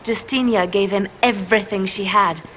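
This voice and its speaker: An actress as a bitter, grieving believer in a fantasy video game. Her voice sits at a middling female pitch and carries wounded doubt about her god. There is clear noise behind the recording. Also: steady tone, normal speed, a bit bright, lightly acted, low-quality recording. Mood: bitter grief. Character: grieving, embittered woman questioning her faith in a god. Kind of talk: dramatic video game voice acting.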